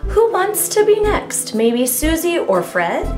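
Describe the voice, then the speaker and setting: A woman's voice: very high-pitched, sing-song, slow, voice pushed up, exaggerated voice. Lady doing a prim older lady teacher for a kids book, patient but fed up, singsong, echoey w music. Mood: sweet. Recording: echoey room with music underneath.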